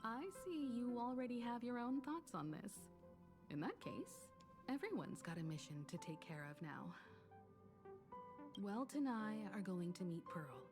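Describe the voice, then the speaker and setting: An actress voicing a composed, knowledgeable woman mentor in a story game, speaking in measured, solemn exposition. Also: medium pitch, very animated. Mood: earnest, guiding. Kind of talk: scripted game story dialogue. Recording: loud background music. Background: game music.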